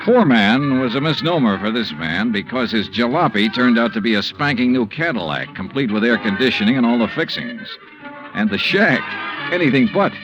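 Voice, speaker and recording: A man's voice: medium pitch, expressive, moderate pace, lightly acted. An actor playing a wry, weary insurance investigator who narrates his own case in a 1950s radio drama. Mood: narrating. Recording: muffled old radio broadcast with music.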